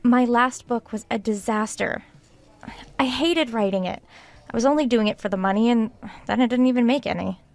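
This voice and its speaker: A woman's voice: on the high side, normal speed, a little mellow, natural voice. A woman acting in an audio drama as an earnest, stubborn author who hesitates and then insists. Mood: frustrated. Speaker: actor.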